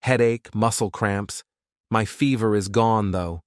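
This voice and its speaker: A man's voice: on the low side, slow pace, smooth, no room sound, studio quality. A man playing an anxious, weary survivor in an audio comic, sounding uneasy and subdued. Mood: weary unease. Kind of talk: audio comic dramatic acting.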